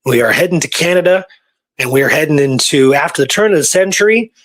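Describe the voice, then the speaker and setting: A man's voice: a little high, expressive, fast, fairly bright tone. A man co-hosting a casual podcast, talking in an enthusiastic, emphatic storytelling manner. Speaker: podcast co-host.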